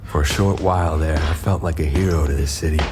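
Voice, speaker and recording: A world-weary ex-boxer telling his own noir story. The voice is deep, brooding and bitter, in short clipped sentences. Music plays clearly behind him. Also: fairly even intonation, fast. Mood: brooding, regretful. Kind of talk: first-person noir audio drama narration.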